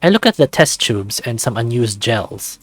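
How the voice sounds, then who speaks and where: A man's voice: medium pitch, sing-song, normal speed, no room sound. Close, dry male narrator over music. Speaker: let's player of a visual novel. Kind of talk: casual reading aloud with commentary.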